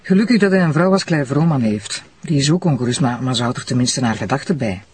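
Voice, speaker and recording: An actor with a Flemish accent playing a sceptical, colloquial police detective sidekick in a radio drama. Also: male voice, medium pitch, animated, fast, a little hiss or hum, close to an everyday voice. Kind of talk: naturalistic radio drama dialogue.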